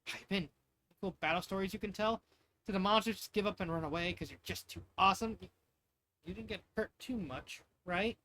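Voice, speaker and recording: A man's voice: sits high, brisk, bright, forceful tone. A man reading the part of a chipper little girl who adores her father, with faint noise behind. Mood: eager. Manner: eager, chipper. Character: chipper, adoring little princess daughter.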